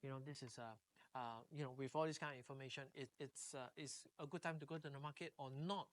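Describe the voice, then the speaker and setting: A middle-aged man from Singapore speaks with a Singaporean accent at an average male pitch. He talks casually as a podcast guest, often leaning on words like actually and basically. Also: steady tone, quick pace. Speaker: podcast guest, real estate agent. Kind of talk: casual interview talk.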